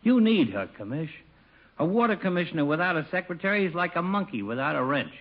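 An actor playing a chummy, pushy small-town barber in an old radio comedy, slangy, breezy and cajoling. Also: male voice, on the high side, very animated, quick pace. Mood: pushy, coaxing. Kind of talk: 1940s-50s radio comedy acting. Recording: dull vintage radio broadcast recording.

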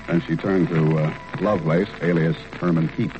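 An actor playing a wry, hardboiled private detective in an old radio drama, with dry, clipped, sardonic delivery. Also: male voice, low voice, steady tone, moderate pace, close to an everyday voice. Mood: wry narrating. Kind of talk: 1940s radio detective drama with first-person narration. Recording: muffled old radio recording with music.

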